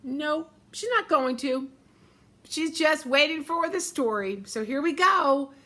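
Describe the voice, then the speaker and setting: A woman, a teacher, reading a picture book aloud at storytime, gently pointing out the pictures and often clearing her throat. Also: high voice. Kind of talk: storytime read-aloud to children.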